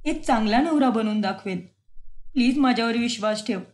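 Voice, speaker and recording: A woman's voice: on the high side, brisk, lightly acted. A woman voicing a harried young man caught between two women, pleading and exasperated.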